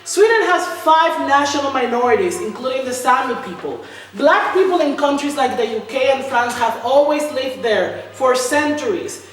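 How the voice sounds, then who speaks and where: High-voiced woman reading in an echoey hall. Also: expressive, normal speed. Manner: emphatic, sarcastic, repetitive refrains. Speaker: writer reading own spoken-word text. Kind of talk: spoken-word political manifesto reading. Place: large reverberant indoor art venue. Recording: echoey hall from far off, faint noise.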